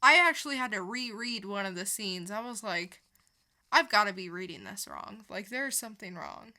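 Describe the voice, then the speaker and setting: A woman's voice: mid-range voice, animated, normal speed, sharp tone. A woman co-hosting a podcast, chatting casually through a comic book review and retelling it with casual swearing. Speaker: podcast co-host.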